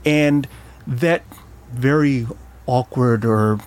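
A middle-aged man from Chile with an American accent, telling his life story as a guest in a casual interview, halting with many fillers and restarts. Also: mid-range voice.

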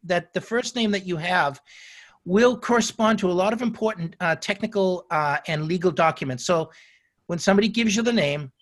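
An older man answers interview questions at length, his voice sitting a little high for a man. He talks in a storytelling way, often restarting and correcting himself mid-phrase. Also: moderate pace. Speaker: guest.

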